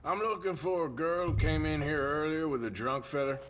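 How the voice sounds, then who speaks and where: An actor playing a gruff, protective cowboy outlaw in a western video game, with a terse, drawling American delivery. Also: male voice, on the high side, moderate pace, natural voice. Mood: gruff, concerned.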